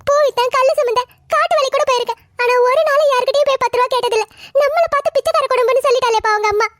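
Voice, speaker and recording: A woman's voice: very high-pitched, very fast, a bit bright, dry recording, clean studio sound. A woman playing a long-suffering mother of a grown son, lamenting plaintively in a melodramatic drama serial. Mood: tearful grief.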